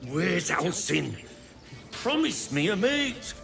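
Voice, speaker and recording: An actor voicing an impatient, lovelorn wild boar with a gravelly voice in a video game. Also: male voice, high voice, very animated, takes it slowly, a bit bright, faint music behind, heavily acted. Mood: impatient, needy.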